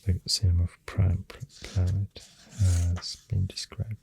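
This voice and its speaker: A soft-spoken ASMR host with a Swedish accent, talking in a hushed, breathy, partly whispered voice, halting and gentle, close to the microphone. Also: deep voice, flat delivery, a little hiss or hum.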